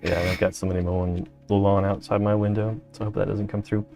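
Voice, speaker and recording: A man's voice: fairly low pitch, little pitch movement, quick pace, vocal fry, mellow, faint music behind. A man chatting casually on a stream, dry and playful with his co-host.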